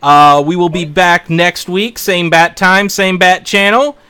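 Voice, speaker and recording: A man hosting a casual tabletop gaming podcast, relaxed and joking as he chats with his co-hosts. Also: high-pitched, a little slow, clear, resonant tone. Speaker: podcast host.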